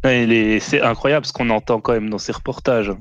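A man's voice: mid-range voice, fairly even intonation, a little fast, a bit bright, no room sound, highs cut off. A man on a live-stream panel, sarcastic and mocking. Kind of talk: casual live-stream debate.

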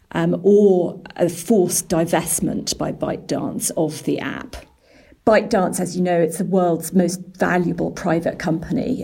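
Woman, journalist interviewee, lowish pitch, creaky, dark husky tone, analytical explanations. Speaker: business and technology editor.

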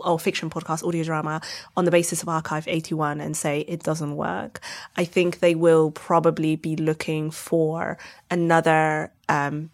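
A woman with a slightly low voice speaks as a podcast guest. Her delivery is conversational, that of an audio drama maker being interviewed.

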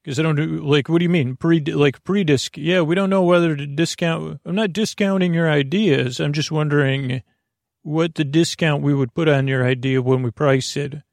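A man with a creaky voice hosts a bedtime podcast, rambling in a halting, meandering, self-deprecating way full of tangents. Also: on the high side, no room sound. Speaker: podcast host.

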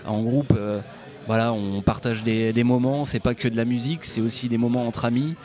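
A man, a guest musician, answering interview questions in a hesitant way full of pauses and restarts, at a folk club bar. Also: mid-range voice, fairly even intonation, a little mellow. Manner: hesitant, many fillers and restarts. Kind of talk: casual interview. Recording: live bar recording with crowd chatter. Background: crowd chatter.

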